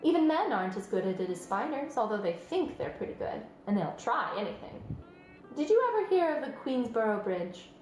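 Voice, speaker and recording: A woman's voice: on the high side, big pitch swings, brisk, darkish tone, a little hiss or hum. A woman voicing a clever, patient female spider with a precise, instructive manner in a storybook read-aloud. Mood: proud, reassuring. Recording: roomy sound, music behind.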